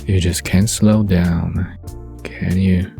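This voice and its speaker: A man playing a smirking, playful young man, smooth and conspiratorial, in a romantic bedtime audio drama. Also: deep voice, little pitch movement, slow pace, mellow, soft background music, exaggerated voice. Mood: amused, teasing.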